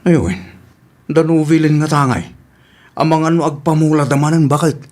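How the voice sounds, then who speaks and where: A man's voice: a little high, normal speed, a little mellow, lightly acted. A man with an Ilocano Filipino accent playing an easygoing, grumbling older village father in a comedy radio drama. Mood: lazy grumbling.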